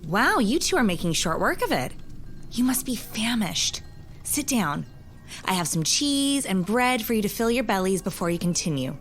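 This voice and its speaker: A woman playing a wise, hospitable and grave keeper of magical lore, telling things deliberately with old-fashioned phrasing in an audio drama. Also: mid-range voice, very animated, soft background music, natural voice. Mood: welcoming.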